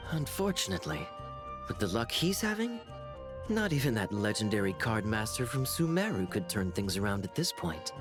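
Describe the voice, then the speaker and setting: A man's voice: lowish voice, very animated, moderate pace. A man voicing a suave, laid-back young swordsman in a video game, sardonic and playfully teasing. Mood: wry. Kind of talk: video game character dialogue. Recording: faint music behind.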